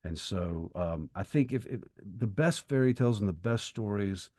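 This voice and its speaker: A man with a notably deep voice, recorded close and dry. He speaks as a podcast co-host, reflective and earnest, often pausing to find his words. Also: expressive, mellow, no room sound. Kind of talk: reflective literary discussion and prayer.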